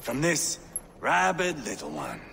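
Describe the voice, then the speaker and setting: An actor playing a cruel, mocking Viking warlord in a video game cutscene, with a gravelly, sneering voice. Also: male voice, medium pitch, wildly swooping pitch, a little slow, background noise, heavily acted.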